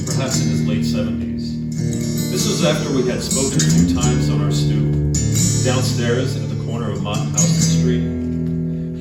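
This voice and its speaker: A middle-aged man reading his own literary short story aloud at a live indoor event, in a measured way with pauses between short phrases. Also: fairly low pitch. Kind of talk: literary spoken-word story reading with musical accompaniment. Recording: very echoey room, distant microphone. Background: live guitar accompaniment.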